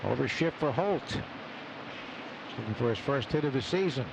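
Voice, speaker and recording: A man's voice: medium pitch, expressive, normal speed, gravelly. A man doing live play-by-play baseball commentary as a radio announcer. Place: open broadcast booth at outdoor ballpark. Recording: noisy outdoor ballpark radio broadcast. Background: loud stadium ambience.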